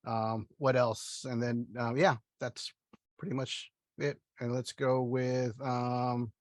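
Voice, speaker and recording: A man introducing himself on a video call in a relaxed way, with many fillers. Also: mid-range voice, slow pace, no room sound. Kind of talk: casual video call chat.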